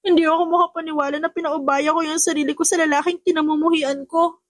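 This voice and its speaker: A woman's voice: high voice, steady tone, quick pace, no echo, studio quality, voice pushed up, close to an everyday voice. A woman voicing a distressed, stubborn young pregnant woman in an audiobook, emphatic and agitated, mixing English with Tagalog. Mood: regretful, upset. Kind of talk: audiobook character acting.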